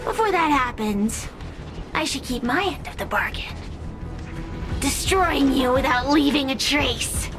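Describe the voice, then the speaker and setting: A woman's voice: high-pitched, lively intonation, measured pace. A woman voicing a scheming, theatrical spirit villain in a video game, with a mocking, drawling delivery. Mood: smug, menacing. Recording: loud music behind.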